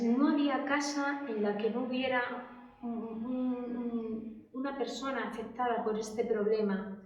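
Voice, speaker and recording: A woman's voice: fairly high pitch, a little mellow. Distant, echoey middle-aged woman. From Madrid, Spain. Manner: hesitant, many fillers and restarts. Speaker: interviewee. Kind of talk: oral history interview. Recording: echoey room, distant mic, dull sound.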